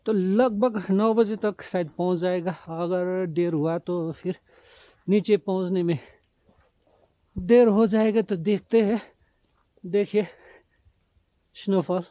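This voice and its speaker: Slow, high-voiced man vlogging outdoors. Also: steady tone, dark tone, no echo. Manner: mixes English words and phrases into speech. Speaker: travel vlogger. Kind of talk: casual vlog narration to camera while trekking. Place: outdoors on mountain roads. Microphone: camera held at arm's length. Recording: slightly dull, muffled camera audio.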